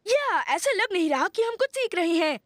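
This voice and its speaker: Cartoon schoolteacher: very high voice, stern, exasperated, dryly sarcastic. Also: female voice, sing-song, moderate pace, a bit bright, no room sound, clean studio sound, full character voice. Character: stern, exasperated female schoolteacher minding a class. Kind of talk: Hindi cartoon dubbing.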